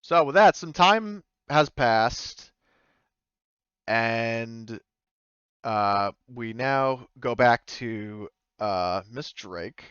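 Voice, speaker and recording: A man's voice: mid-range voice, animated, very slow, a bit bright, smooth, no echo, lo-fi sound. A man narrating an improvised tabletop game as game master, tentative and often thinking aloud. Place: indoors, online voice call.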